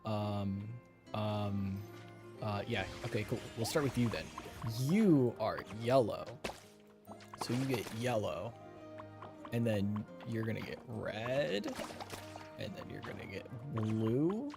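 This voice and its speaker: A man's voice: on the low side, expressive, slow, creaky. Casual male gamer, light music behind. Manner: joking, teasing, talking to game characters. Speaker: streamer playing a video game. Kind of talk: casual game commentary, reading dialogue aloud. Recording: faint game music behind. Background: game music.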